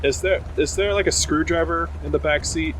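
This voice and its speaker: A man playing a tabletop roleplaying game, talking casually and haltingly as he thinks aloud. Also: a little high, slight background noise. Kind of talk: casual in-character game talk.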